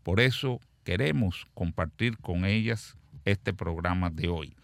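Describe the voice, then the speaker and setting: Deep-voiced formal radio host. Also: male voice, gravelly, no room sound. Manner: formal, measured, with many "eh" fillers. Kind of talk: community radio panel.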